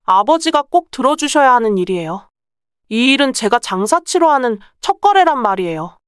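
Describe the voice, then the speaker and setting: A woman's voice: fairly high pitch, sing-song, normal speed, clear, resonant tone, dry recording, studio quality. A woman playing a clever, bold young merchant's daughter in a folktale, speaking politely and persuasively. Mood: earnest. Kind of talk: acted Korean folktale dialogue.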